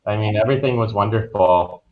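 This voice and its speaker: A man's voice: lowish voice, fairly quick. A man speaking as an interviewed travel advisor, explaining things in a casual, informal, loosely phrased way. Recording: dull, muffled lo-fi audio.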